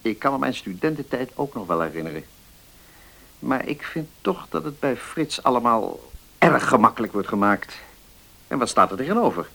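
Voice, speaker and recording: An actor playing a middle-aged notary in a Dutch radio play, measured and deliberate, kindly but firm. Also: male voice, mid-range voice, sing-song, vocal fry, sharp tone, heavily acted. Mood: thoughtful.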